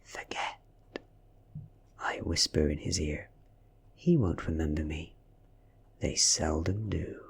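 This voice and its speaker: A British man reading a story in a soft, hushed, half-whispered ASMR style, soothing and breathy. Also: very deep voice. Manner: slow, soothing, hushed delivery. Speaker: audiobook reader.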